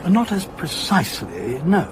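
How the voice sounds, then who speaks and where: A man's voice: on the high side, unhurried, background noise. An actor playing a male academic curator in a radio drama, explaining his field with pedantic, self-amused eagerness. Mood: enthused, explanatory. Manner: explanatory, donnish, animated. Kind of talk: naturalistic radio drama acting.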